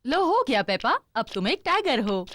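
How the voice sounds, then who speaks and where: A woman's voice: high voice, sing-song, moderate pace, fairly bright tone, full character voice. A woman voicing a friendly, helpful grown-up animal lady in a children's cartoon, speaking in an encouraging singsong. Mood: helpful, upbeat.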